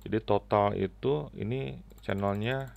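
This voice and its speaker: Low, creaky, slow-talking man. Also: a little mellow, highs cut off, a little hiss or hum. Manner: halting, short phrases with frequent tag words. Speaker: tutorial presenter. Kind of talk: unscripted app tutorial. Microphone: headset.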